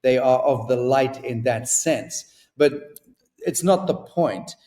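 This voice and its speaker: A man's voice: mid-range voice, takes it slowly, warm tone, reverberant. A man as a podcast guest, delivering spiritual ideas in an emphatic, declamatory way. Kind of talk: spiritual talk, interview answers.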